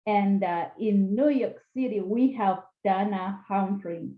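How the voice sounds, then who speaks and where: A woman hosting an online podcast panel, enthusiastic and quick to laugh, often repeating words as she introduces her guests. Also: medium pitch. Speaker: podcast host. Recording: echoey room over a tinny phone-like line.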